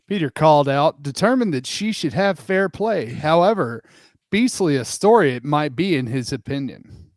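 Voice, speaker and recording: A man's voice: on the high side, expressive, normal speed, dry recording, studio quality. A man narrating a storybook as part of an online group reading.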